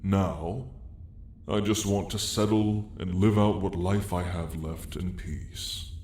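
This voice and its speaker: An ancient, lonely dragon played by a man in an ASMR fantasy roleplay, with a very low voice that echoes around him. He speaks slowly and solemnly in old-fashioned phrases, gentle and wistful. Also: steady tone. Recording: echoey space with faint hiss.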